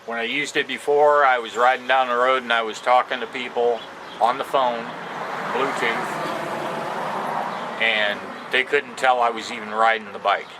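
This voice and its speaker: A man giving a casual, unscripted product demo outdoors, talking in a plain-spoken, halting way. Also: medium pitch, steady tone. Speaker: amateur product reviewer. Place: outdoors on a porch. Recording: noisy outdoor recording with ambient sounds.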